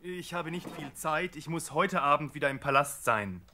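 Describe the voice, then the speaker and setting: A man's voice: on the high side, lively intonation, normal speed, background noise, natural voice. An actor playing a brusque, impatient king's servant giving orders in a biblical radio play. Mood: ominous. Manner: commanding, impatient. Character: brusque, imperious male servant of a tyrant king. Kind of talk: German radio play acting.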